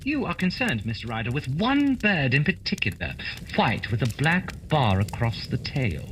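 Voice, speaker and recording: A man playing a shrewd, self-assured gentleman detective with a precise, theatrical manner in a radio play. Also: mid-range voice, very animated, normal speed, slight background noise. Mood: knowing, theatrical. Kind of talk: holiday radio play acting.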